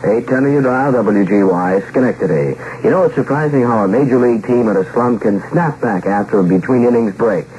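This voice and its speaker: A man's voice: mid-range voice, fairly quick, few pauses, dark tone. An American man reading a scripted 1960s radio advertisement with polished sales delivery. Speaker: station announcer. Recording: dull, slightly noisy old radio recording.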